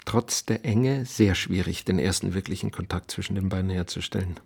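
An actor playing a wry, guarded writer who is an outsider, speaking in a dry, hesitant, understated way. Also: male voice, low voice, brisk, gravelly, a little mellow, breathy edge, no room sound, exaggerated voice. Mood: dry, thoughtful. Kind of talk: naturalistic film acting.

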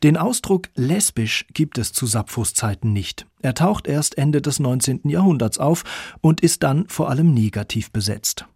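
A man's voice: medium pitch, lively intonation, normal speed, creaky, darkish tone, slightly rough, no echo, clean studio sound. A man reading scripted narration for a radio documentary.

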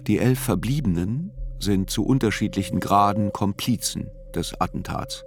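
A man acting as a writer who narrates a trial report in a radio play, measured, sober and precise. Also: fairly low pitch, over music, lightly acted.

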